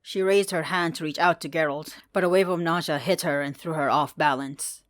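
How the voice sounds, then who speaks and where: A woman with a fairly low voice narrates a piece of fantasy fiction. Her delivery is measured and dramatic, clearly read from a script. The sound is dry, without room echo. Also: steady tone. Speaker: fan fiction podfic narrator.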